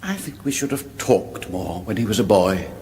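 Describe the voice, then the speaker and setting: A man's voice: lowish voice, animated, moderate pace, darkish tone, close to an everyday voice. A man playing a complacent, oblivious older king in a radio comedy, dithering and chiding in a fussy manner. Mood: dismissive. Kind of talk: broadcast radio comedy acting. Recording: echoey hall with background noise.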